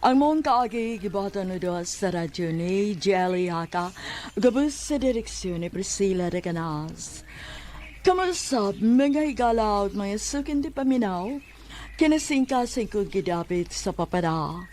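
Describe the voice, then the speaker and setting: A woman's voice: medium pitch, lively intonation, unhurried, darkish tone. A woman with a Cebuano Filipino accent reading a scripted introduction as a radio drama announcer. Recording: studio voice over faint music.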